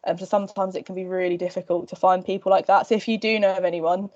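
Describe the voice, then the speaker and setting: Brief: woman, lowish voice, relaxed presenter with frequent ums, dull video-call sound. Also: fast. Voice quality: relaxed, filler-heavy delivery with many ums. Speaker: project team member hosting a video-call show and tell. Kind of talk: casual work presentation and Q&A.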